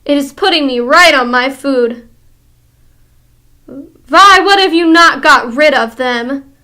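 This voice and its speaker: Storybook villain: a tyrannical witch queen, shrieking and commanding, voiced by a woman reader, shrill and bright. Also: normal speed. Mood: furious. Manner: screaming, commanding, ranting. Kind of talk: audiobook character reading.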